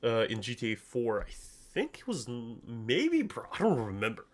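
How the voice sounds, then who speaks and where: A young man with a light Russian accent talks casually to his stream viewers. His pitch swings widely as he goes. The manner is joking and self-deprecating, full of internet slang. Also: wildly swooping pitch, normal speed. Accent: slight Russian accent. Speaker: anime commentary streamer. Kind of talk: casual livestream chat, answering viewers.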